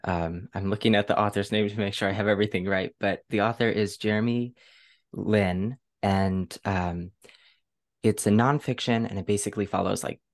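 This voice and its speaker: Very low-voiced, chatty male podcast host. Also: steady tone, moderate pace. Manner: enthusiastic, gushing. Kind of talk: casual chatty interview.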